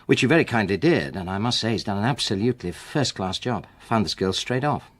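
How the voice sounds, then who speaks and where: An actor playing a wronged, coldly sarcastic husband in a radio drama, with a dry, deliberate manner. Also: male voice, fairly low pitch, animated. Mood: dry, sardonic. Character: wronged husband, former rugby man, coldly sarcastic and controlled. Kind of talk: naturalistic radio drama acting.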